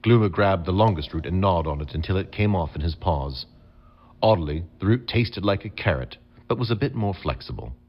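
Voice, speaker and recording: A man reading scripted storybook narration for an audio adventure game in a measured, dramatic storytelling manner. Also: low-pitched, expressive, dull audio.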